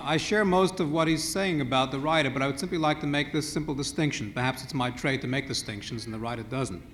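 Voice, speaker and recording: A man's voice: on the high side, slight background noise. A man responding at a panel discussion, precise and argumentative, carefully drawing distinctions. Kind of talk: analytical debate remarks.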